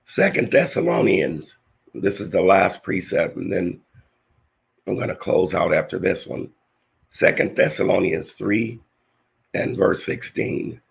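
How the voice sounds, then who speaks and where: Male Bible teacher, low, hoarse and gravelly, earnest slow verse reading. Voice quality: raspy, gravelly. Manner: slow, earnest scripture reading with halting restarts. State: hoarse, voice nearly lost. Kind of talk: scripture-reading lesson.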